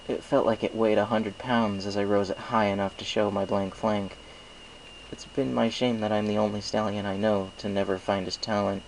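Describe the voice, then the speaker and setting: Low, gravelly man reading a story. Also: a little mellow, a little hiss or hum, poor audio. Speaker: amateur audiobook reader. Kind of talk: first-person scripted story narration.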